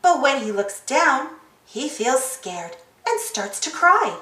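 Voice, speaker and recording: A woman reading a children's storybook aloud as an expressive narrator and host. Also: sits high, takes it slowly, roomy sound, distant mic. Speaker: storybook reader, channel host.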